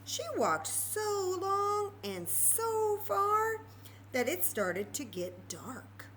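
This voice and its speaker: A woman storyteller reading aloud to young children in an expressive, chatty way, full of wonder and questions. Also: very high voice, very slow, slight background noise, rough recording.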